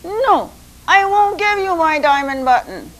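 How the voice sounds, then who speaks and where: A woman voicing a small, brave male rooster who crows high and insistent in a storybook tale. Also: very animated, unhurried, a bit bright, exaggerated voice. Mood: defiant crowing. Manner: high crowing calls.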